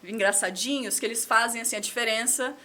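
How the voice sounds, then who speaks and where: A woman's voice: fairly high pitch, brisk, sharp tone. A Brazilian woman in her thirties, a guest on a podcast, chatting casually and repeating words as she thinks. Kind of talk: casual chat.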